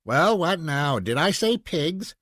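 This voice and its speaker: A man's voice: a little high, very animated, takes it slowly, a bit bright, no room sound, clean studio sound, pitched above the performer's own voice, heavily acted. A man voicing a playful, mildly weary father in a storybook, wry and indulgent as he talks. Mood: teasing.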